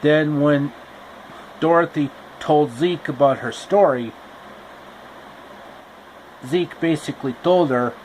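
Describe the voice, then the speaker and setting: A man retells a classic tale in a casual, homemade storybook style, often repeating himself with explanatory asides. His pace is very slow and his voice is a touch higher than most men's. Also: a little mellow, slight background noise, poor audio.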